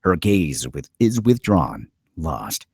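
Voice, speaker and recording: A man's voice: rumbling low, very animated, takes it slowly, gravelly, a little mellow, husky, no echo, clean studio sound. A man narrating stage directions from a script in a dramatic storytelling style.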